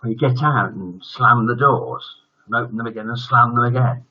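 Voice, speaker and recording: A man's voice: medium pitch, moderate pace. An older man hosts a casual livestream for children, chatting to viewers in a jokey, rambling way that often trails off. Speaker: host of a children's bedtime story livestream. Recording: dull lo-fi livestream audio.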